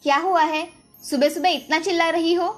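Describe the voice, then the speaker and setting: A stern middle-aged mother-in-law from a storybook, acted out by a woman. Her voice sits high and she scolds in exclamations, demanding to be obeyed. Faint music plays behind her. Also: steady tone, normal speed. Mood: irritated.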